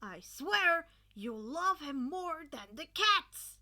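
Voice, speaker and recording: A woman voicing an exuberant, teasing male teacher in a story, with an emphatic, excitable delivery. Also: sits high, slow pace, voice pushed up, full character voice. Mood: exasperated.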